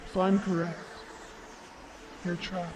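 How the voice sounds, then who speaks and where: A man acts as a composed, clever fighter in an anime fan drama. His voice is on the high side, measured and smug. There is slight background noise. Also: level tone. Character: composed, clever male fighter springing a trap.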